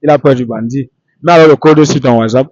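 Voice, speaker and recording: A man hosting an unscripted street interview, speaking in halting, repetitive phrases. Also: mid-range voice, a little mellow, dry recording, lo-fi sound.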